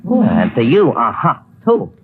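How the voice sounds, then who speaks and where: An actor playing a cocky, wisecracking convict in a 1940s radio crime drama, sardonic, slangy and swaggering. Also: male voice, medium pitch, wildly swooping pitch, normal speed. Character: cocky, wisecracking male convict cutting a deal. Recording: dull, noisy old radio recording.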